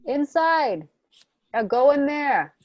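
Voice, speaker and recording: A woman's voice: sits high, very animated, slow, full character voice. A woman playing a nervous, falsely friendly male gangster in an amateur radio play, urgent and coaxing.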